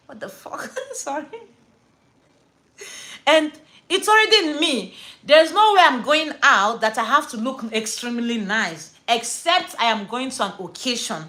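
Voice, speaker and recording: High, bright-voiced Nigerian woman. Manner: chatty, animated storyteller with pidgin slang. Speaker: livestream host. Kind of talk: casual live-stream chat.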